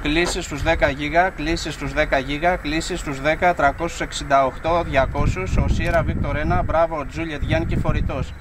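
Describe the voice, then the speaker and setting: Greek male ham radio operator, slightly high voice, repetitive procedural call-outs, recorded outdoors over clear background noise. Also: fairly even intonation, few pauses. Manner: repeats words and call signs. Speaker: amateur radio operator making a field contact. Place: outdoors on a hill. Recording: noisy outdoor recording with vehicle sounds.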